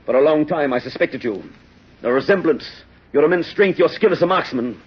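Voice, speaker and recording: An actor playing a stern, dutiful police inspector with a rough voice and clipped, formal delivery in 1930s radio style. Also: male voice, a little high, lively intonation, fairly quick. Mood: grim, earnest. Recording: dull, lo-fi 1930s radio recording.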